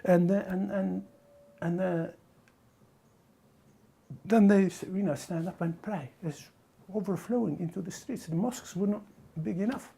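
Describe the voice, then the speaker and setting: A man from the Middle East or North Africa talking casually and reflectively, haltingly searching for words. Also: sits high, creaky, warm tone, husky. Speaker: documentary interviewee.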